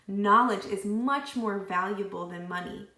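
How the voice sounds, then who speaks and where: A woman's voice: mid-range voice, measured pace, pushed and bright, roomy sound. A woman voicing an earnest, put-upon young schoolboy in a children's story, speaking emphatically. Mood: eager.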